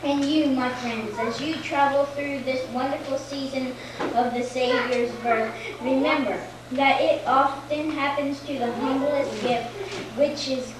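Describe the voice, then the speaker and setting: A woman with a high voice reads a story aloud in an earnest, deliberate way. She is heard from a distance in a heavily reverberant hall, with clear noise over the recording. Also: fairly even intonation. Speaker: storyteller narrating a church puppet show. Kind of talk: scripted story narration, read aloud. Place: large echoey church hall, recorded from afar. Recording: very echoey, distant amateur recording, loud noise.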